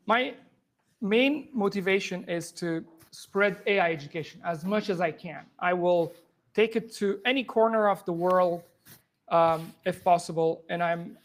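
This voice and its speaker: A man from Afghanistan, a software engineer, giving an informal live tech demo to a room audience on a handheld microphone, talking fast with many restarts. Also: high-pitched, steady tone. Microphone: handheld or clip microphone.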